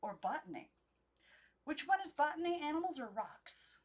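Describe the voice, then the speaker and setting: Woman as an eager, absent-minded gentleman doll. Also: high-pitched, big pitch swings, normal speed, voice pushed up. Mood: eager, puzzled. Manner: vague, exclaiming, genteel.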